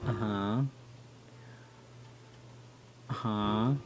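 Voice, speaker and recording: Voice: mid-range voice, fairly even intonation, very slow, slight background noise, poor audio. A game streamer giving casual gameplay commentary in a bemused, reactive mutter. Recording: faint game music behind.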